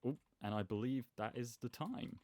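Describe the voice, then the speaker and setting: A man's voice: mid-range voice, normal speed, darkish tone. A man giving casual, rambling gameplay commentary as host, with a self-deprecating manner. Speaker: gaming video host.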